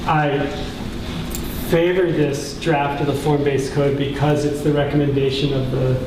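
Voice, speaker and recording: A middle-aged man with a soft voice, giving thoughtful, halting remarks as a councilor at a public meeting. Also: mid-range voice, little pitch movement. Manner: thoughtful, halting, searches for words. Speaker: borough councilor speaking at a caucus meeting. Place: indoor council meeting room. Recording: echoey room, distant mic, clear background noise.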